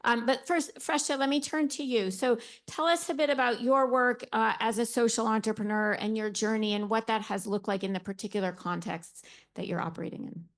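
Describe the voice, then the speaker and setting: A woman's voice: on the high side, steady tone, a little fast. Casting: friendly woman moderator, inviting tone. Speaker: panel moderator. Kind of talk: moderating a seminar.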